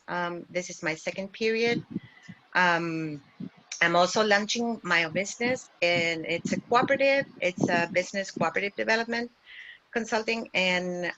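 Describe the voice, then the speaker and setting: Bright-voiced Chilean woman on muffled call. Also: lowish voice. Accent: Chilean Spanish. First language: Spanish. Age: middle-aged. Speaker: workshop facilitator, cooperative development consultant. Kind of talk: informal online presentation. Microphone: video call. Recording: muffled, lo-fi video call audio.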